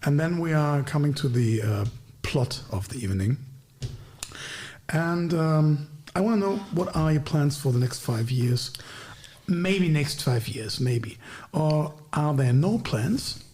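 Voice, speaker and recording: A German man hosting a podcast, asking questions in casual, halting English with a German accent. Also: on the high side, animated, a little mellow, a little hiss or hum. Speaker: podcast host. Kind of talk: casual interview chat.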